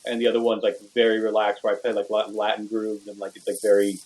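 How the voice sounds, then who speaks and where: A young man, a bass player, chatting loosely in a podcast interview, filling his talk with "like" and "you know". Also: monotone, quick pace, darkish tone. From Venezuela. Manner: rambling, full of "like" and "you know".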